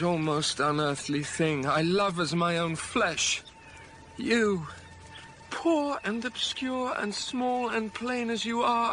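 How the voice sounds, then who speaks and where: An actor playing a brooding, ardent master of the house in a period radio drama, urgent and commanding. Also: male voice, high voice, fairly bright tone, slight background noise.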